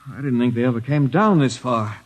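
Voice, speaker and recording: An actor playing a young, skeptical companion who grumbles and exclaims, in a noisy vintage radio drama. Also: male voice, mid-range voice, animated, fairly quick, lightly acted. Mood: irritated, scornful. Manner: complaining, exclamatory. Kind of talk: 1950s radio drama acting.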